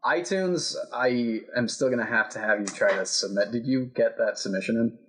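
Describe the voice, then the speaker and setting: A young man co-hosting a casual podcast, relaxed and teasing, sorting out upload plans. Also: mid-range voice, normal speed, slight background noise. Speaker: podcast co-host. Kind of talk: casual chat.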